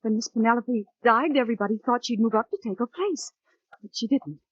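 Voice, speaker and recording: An actress playing a frightened, earnest schoolgirl, urgent and halting, in 1940s radio style. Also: on the high side, husky, no room sound, dull audio. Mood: urgent, hushed. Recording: thin, dry 1940s radio recording.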